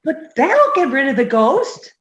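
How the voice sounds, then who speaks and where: A woman's voice: high-pitched, sing-song, measured pace, roomy sound, a little hiss or hum. A woman playing a naive, dim-witted, earnest young roommate in a 1950s-style radio comedy. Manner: innocent, literal-minded.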